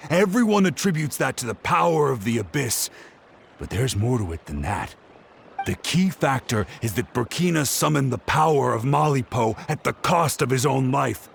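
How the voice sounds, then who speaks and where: An earnest, excitable scholar. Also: male voice, medium pitch, normal speed, vocal fry, slight background noise. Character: earnest young male scholar, eager and anxious. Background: game music.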